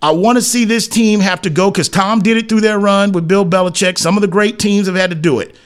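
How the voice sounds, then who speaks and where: A man with a notably high-pitched voice delivers an opinionated sports commentary monologue. He is blunt and emphatic, often repeating a phrase to drive it home. His wording is colloquial, full of slang and double negatives. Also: steady tone, brisk. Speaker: sports talk show host.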